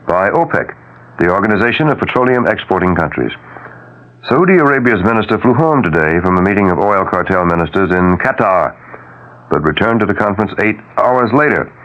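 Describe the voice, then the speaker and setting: A man's voice: on the low side, a bit bright, dull audio. An American man reading a 1970s radio news bulletin in a formal, emphatic broadcast delivery, as a newscaster.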